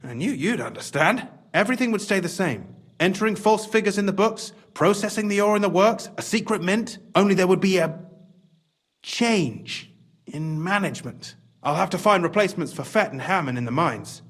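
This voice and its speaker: An actor voicing a cunning, excitable official in a medieval video game, wordy and theatrical in a conspiratorial way. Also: male voice, sits high, moderate pace. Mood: scheming. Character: cunning, excitable scheming male mine official, smugly pragmatic. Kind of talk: video game cutscene acting.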